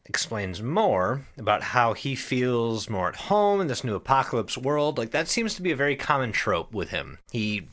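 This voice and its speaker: A man hosting a podcast, giving a casual, profanity-laced story recap to his co-hosts in an animated way. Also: mid-range voice, normal speed, few pauses. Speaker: podcast host.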